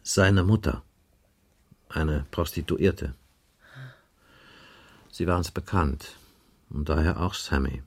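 An actor playing a blunt, world-weary police inspector in a radio crime drama, speaking in a matter-of-fact, sardonic and deliberate way. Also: male voice, a bass voice, husky, exaggerated voice. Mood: cynical. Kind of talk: 1980s German radio crime drama acting.

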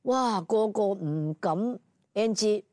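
A woman's voice: mid-range voice, takes it slowly, a little mellow, no echo, clean studio sound. An older woman with a Hong Kong Cantonese accent, reminiscing conversationally as an interviewee.

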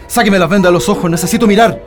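A man playing a Chilean political prisoner in a radio drama, guarded and halting in his speech. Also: high-pitched, over music, lightly acted. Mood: anxious, guarded. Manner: halting, stammering.

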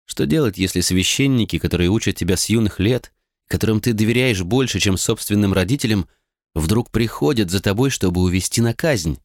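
A man's voice: lowish voice, animated, brisk, no echo, studio quality. A man voicing a bitter, betrayed young noblewoman in an audiobook, talking in an earnest, rueful way. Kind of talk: audiobook character reading.

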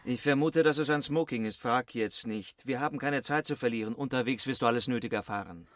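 An actor playing a cool, world-weary 1920s gentleman swindler in a radio play, clipped, imperious and dryly ironic. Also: mid-range voice, a little fast, dry recording, lightly acted. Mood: brisk, ordering.

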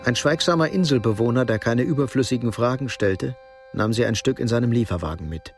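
A man narrating a radio drama as a sober storyteller, measured and literary, with a husky voice. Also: mid-range voice, faint music behind, natural voice. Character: sober male narrator of a Cold War crime story.

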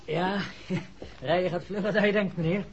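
Chatty servant, hissy old recording. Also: male voice, sits high, steady tone, moderate pace. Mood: chatty, eager. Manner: chatty, deferential. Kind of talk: 1960s radio drama acting. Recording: muffled, noisy old radio recording.

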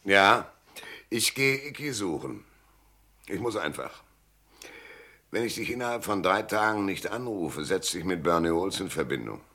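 A man's voice: deep voice, sing-song, a little slow, long pauses, bright, forceful tone, full character voice. An actor playing a stubborn, cool-headed male private detective in a German radio drama, laconic and hardboiled. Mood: resolute, cool.

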